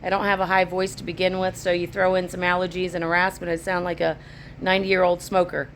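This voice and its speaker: A woman chatting casually to camera about her homestead, her voice raspy from allergies, in a rambling, down-to-earth way. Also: on the low side, level tone, fast, slight background noise, poor audio. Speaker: homesteading vlogger. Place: at home on a rural homestead. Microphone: phone camera, later on a tripod.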